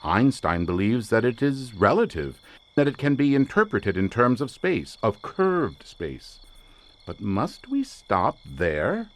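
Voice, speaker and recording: An actor playing an obsessive, eccentric occult researcher in a radio suspense drama, lecturing in grand theatrical phrases. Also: male voice, mid-range voice, very animated, slow pace. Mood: musing, insistent. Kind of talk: old-time radio suspense drama acting.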